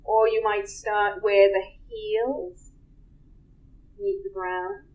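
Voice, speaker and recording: A woman leading a guided relaxation, speaking softly and unhurriedly with long pauses. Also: medium pitch, fairly even intonation, muffled recording.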